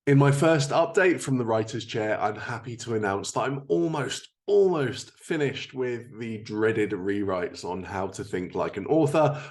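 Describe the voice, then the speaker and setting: A man with a fairly low voice hosts a podcast. He delivers prepared segments and introductions in a presenter's style, speaking directly to his listeners. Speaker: podcast host. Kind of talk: podcast hosting, scripted updates and interview.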